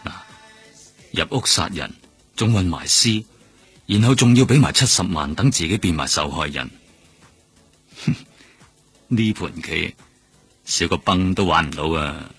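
An actor with a Hong Kong accent playing a world-weary, cynical veteran police detective, blunt and sardonic, in a radio drama. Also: male voice, low-pitched, lively intonation, measured pace, long pauses, fairly bright tone, husky, exaggerated voice. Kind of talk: Cantonese radio drama acting. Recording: music bed behind the voice.

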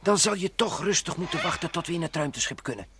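An actor playing a bossy, admonishing space adventurer who lectures and grumbles in a children's radio play. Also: male voice, on the high side, expressive, fast talker, gravelly, background noise. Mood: lecturing. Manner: didactic, admonishing.

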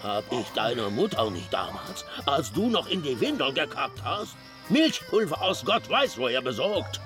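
An actor in a radio drama playing a loud, cantankerous, hard-drinking pig-farmer uncle who curses and scolds. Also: male voice, mid-range voice, big pitch swings, a little slow. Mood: gruff, scolding. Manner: blustering, cursing, scolding. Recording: music behind the voice.

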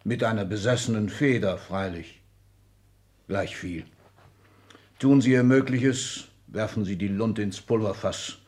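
An actor playing an imperious, combative older Excellency in a radio drama, speaking in brusque, clipped commands. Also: male voice, fairly low pitch, a little slow, a little mellow, natural voice. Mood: combative, bold. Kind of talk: 1960s German radio drama acting.

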